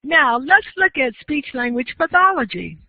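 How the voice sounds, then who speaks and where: A woman giving a university lecture, explaining terms in a slow, deliberate way and pausing to invite questions. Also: high voice, very animated, no room sound. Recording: tinny lo-fi dry classroom recording.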